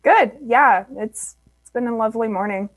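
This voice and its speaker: A woman interviewer with a fairly high voice, upbeat and friendly, tossing in short affirmations. The sound is dry and close, with no room around it. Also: moderate pace, dry recording. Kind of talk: casual video call interview. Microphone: video call.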